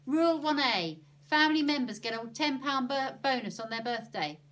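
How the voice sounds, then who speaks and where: A woman's voice: mid-range voice, very animated, a little slow, a bit bright. A woman voicing a bossy, no-nonsense aunt with a firm, commanding manner. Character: bossy, no-nonsense aunt who lays down rules.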